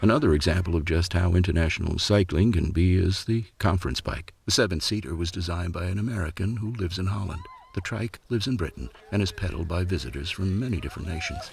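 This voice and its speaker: A man narrating a travel documentary in a measured, reflective scripted delivery. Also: deep voice, lively intonation, creaky. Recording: narration over loud music.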